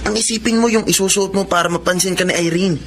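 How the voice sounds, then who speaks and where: A man's voice: high-pitched, steady tone, fast talker, background noise, close to an everyday voice. An actor with a Filipino accent playing an insecure, status-conscious young man in a radio drama. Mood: casual, teasing. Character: young son and boyfriend, status-conscious, insecure about money.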